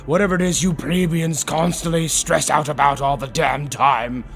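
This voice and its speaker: A man voicing a flamboyant, sinister demon tempter in a roleplay monologue, taunting the listener with theatrical, drawn-out emphasis. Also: fairly high pitch, fairly even intonation, vocal fry. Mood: grandly tempting. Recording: background music under the voice. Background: orchestral waltz-style music.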